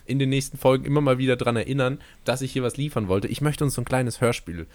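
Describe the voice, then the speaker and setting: A young man co-hosting a podcast, chatting in a relaxed way with dry, wry humor. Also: medium pitch, quick pace. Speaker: podcast co-host. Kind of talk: casual chat.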